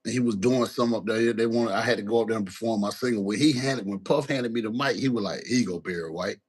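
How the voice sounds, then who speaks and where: A rapper, talking in a casual interview, halting with many fillers and restarts. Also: male voice, husky. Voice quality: deep voice. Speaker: guest, rapper.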